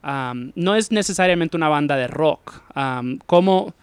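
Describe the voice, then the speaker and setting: A man's voice: fairly high pitch, animated, a little slow, clear, resonant tone, no echo. A man hosting an interview, talking in a hesitant way, often restarting his sentences. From not Chilean, lived in Chile. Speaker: interviewer host. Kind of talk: casual interview chat.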